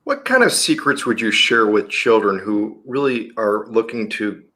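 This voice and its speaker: A man hosting a talk radio interview show, chatty and energetic, plugging the show's website between questions. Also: lowish voice, moderate pace.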